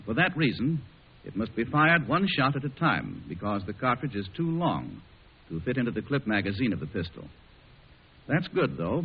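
Old lo-fi radio broadcast, man, 1940s announcer, animated intonation, husky, scripted sponsor read. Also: medium pitch.

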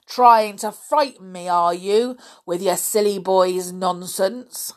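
A woman's voice: mid-range voice, fairly even intonation, slow, natural voice. A woman voicing a grumpy, suspicious, deaf old man in a children's story, scolding irritably in rustic turns of phrase. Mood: angry.

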